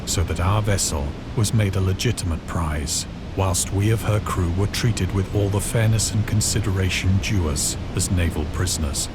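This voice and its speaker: An actor playing a despairing, morphine-addicted former seaman, narrating a horror game in a grave, measured, formal manner. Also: deep voice, over loud music, natural voice, poor audio. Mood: somber recollection. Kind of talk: dramatic first-person horror narration.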